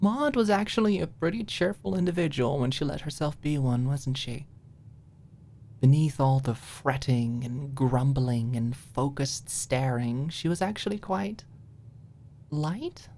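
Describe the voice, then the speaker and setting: A woman narrating a story as a scripted audiobook, in a soft, expressive storytelling manner. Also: extremely low pitch, unhurried, vocal fry.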